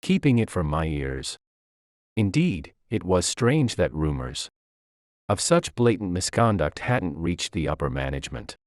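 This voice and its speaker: A man with a deep voice narrates a scripted story in a measured, formal manner. His pitch moves in a lively way as he reads both narration and dialogue. The sound is dry and close. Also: no echo. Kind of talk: scripted first-person story narration, read aloud with dialogue.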